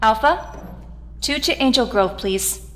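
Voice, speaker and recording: A woman's voice: on the high side, animated, slow pace. An actress playing a grieving, headstrong teenage girl superhero in an audio drama, earnest and insistent. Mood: firm, caring. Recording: reverberant hall sound.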